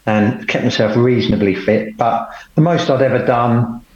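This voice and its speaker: A man's voice: mid-range voice, moderate pace, a little mellow. Middle-aged man on a call. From England. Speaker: podcast guest. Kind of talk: casual conversation, telling his life story. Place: at home. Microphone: remote call. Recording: roomy, dull remote call audio.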